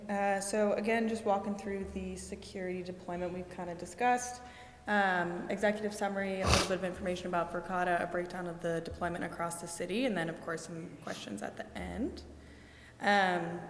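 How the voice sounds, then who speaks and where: A woman representing a vendor gives a sales presentation to a city council, walking through her slides in a steady, explanatory way with frequent ums. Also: on the low side. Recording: echoey, reverberant council chamber with faint noise.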